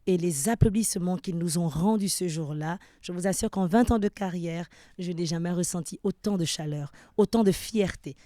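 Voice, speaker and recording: Gabonese-accented woman, slightly low warm voice, formal and fluent, dry studio sound. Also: dry recording. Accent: Gabonese French. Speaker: performing artist, TV guest. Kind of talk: TV studio interview.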